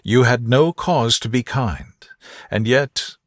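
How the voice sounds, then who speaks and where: A man voicing a witty, tender young gentlewoman in love in a soft, slow bedtime storybook. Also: mid-range voice, no room sound, studio quality, voice pushed up. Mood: gently teasing. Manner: soft, playful, slow and deliberate. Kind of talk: soothing bedtime storybook dialogue.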